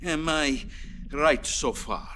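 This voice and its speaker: An actor playing an older, stern and weary Soviet premier in a video game cutscene, speaking in a measured, weighty, formal way. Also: male voice, fairly high pitch, sharp tone, a little hiss or hum. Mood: accusing, agitated. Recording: prominent dramatic background music.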